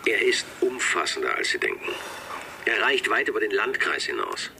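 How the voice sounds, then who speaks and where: A man's voice: on the low side, huge pitch swings, normal speed, vocal fry, bright, forceful tone, exaggerated voice. A man playing a compromised press spokesman in a German radio crime drama, giving a grave confession heard on tape playback. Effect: played back from a recording. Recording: noisy taped interview playback.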